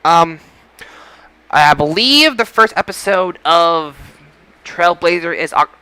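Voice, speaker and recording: A man with a bright, clear voice hosts a casual podcast. His pitch sits a little high, and he talks haltingly, often doubling back to repeat a phrase. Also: animated, no echo. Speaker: podcast host. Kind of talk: casual podcast sign-off talk.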